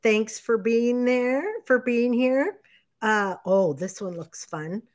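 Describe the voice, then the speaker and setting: A woman co-hosting a live chat show, upbeat and encouraging, reading out viewer shout-outs. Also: on the high side. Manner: upbeat, encouraging, quick interjections. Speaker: livestream co-host.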